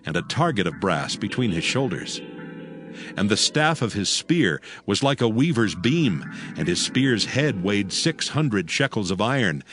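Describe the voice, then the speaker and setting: Deep, expressive male scripture narrator. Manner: solemn, measured storytelling. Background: music.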